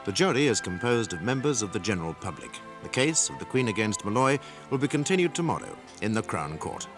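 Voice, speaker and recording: An actor voicing a sober, formal announcer who gives measured, factual narration for a courtroom drama. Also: male voice, lowish voice, very animated, over loud music.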